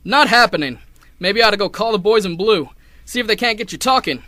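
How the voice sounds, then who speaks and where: A young man playing a hard-boiled, wry detective who narrates a 1930s noir radio drama in a deadpan way. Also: high voice, a little fast, no room sound. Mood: threatening. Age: young adult. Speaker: narrator and lead actor.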